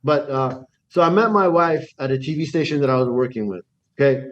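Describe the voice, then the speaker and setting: A man from Iran telling his life story in a casual interview. He talks in an animated way, restarts his sentences and acts out the dialogue he quotes. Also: mid-range voice, fairly quick, muffled recording. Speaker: guest.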